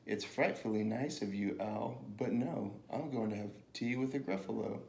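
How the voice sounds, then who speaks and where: A man's voice: lowish voice, normal speed, vocal fry, darkish tone. A man voicing a small, clever brown mouse who is polite, cheeky and boastful in a storybook reading. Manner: polite, cheeky, self-assured. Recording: echoey room with faint noise.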